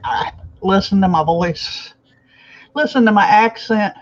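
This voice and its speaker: A woman's voice: mid-range voice, unhurried. A woman hosting a casual live chat, laid-back and laughing often. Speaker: stream host. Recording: dull lo-fi mic.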